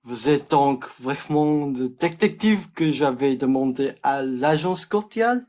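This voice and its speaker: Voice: mid-range voice, expressive, a little mellow. A young performer playing a theatrical older aristocrat in a French farce made as a radio play, melodramatic and declamatory. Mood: dry. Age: young adult. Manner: melodramatic, declamatory, slow delivery. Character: theatrical older male aristocrat claiming a long-lost son.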